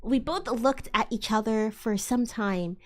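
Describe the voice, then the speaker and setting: A woman's voice: a little high, measured pace, soft background music. A woman streamer doing a playful, exaggerated dramatic reading, with sweary amused asides. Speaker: streamer, host of a live read-along.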